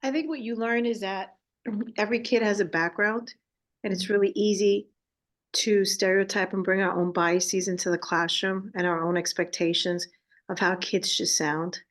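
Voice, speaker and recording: A woman's voice: mid-range voice, steady tone, moderate pace. Teacher, woman, mid voice, thoughtful discussion remarks. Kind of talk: sharing in a discussion.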